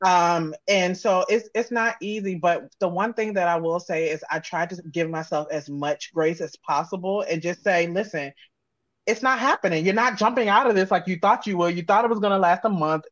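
A woman's voice: lowish voice, moderate pace, no echo, muffled recording. A woman giving casual, candid and reflective interview answers, often voicing her own self-talk. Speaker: interview guest, audio drama creator.

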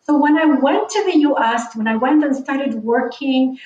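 A woman from Lebanon speaks with a high voice, earnest and reflective as she talks through her life. She sounds set back from the mic in a roomy space, and the sound is a little dull. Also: expressive, normal speed, darkish tone. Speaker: guest, online business coach. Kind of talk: conversational podcast interview. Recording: echoey, distant, dull sound.

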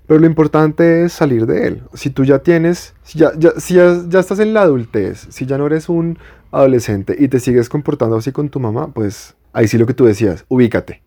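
A young man from Bogotá co-hosts a podcast in a relaxed, easygoing chat. His voice is mellow and warm, with a Bogotá accent. He works through his thoughts out loud and often restarts. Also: mid-range voice, fairly quick. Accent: Bogotá Colombian Spanish. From Colombia. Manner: reflective, explains at length with restarts. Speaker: podcast co-host. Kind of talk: casual chat.

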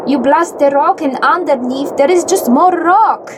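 A woman's voice: sits high, unhurried, background noise. A woman playing a quirky, scolding superheroine in a comedy audio drama, playful and singsong. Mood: puzzled, blunt.

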